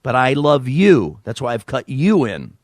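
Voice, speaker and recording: A man's voice: mid-range voice, unhurried, dry recording. A middle-aged man hosting a podcast, telling stories in a casual chat and acting out the voices of the people in them. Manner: animated storyteller, acts out quotes. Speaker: podcast host.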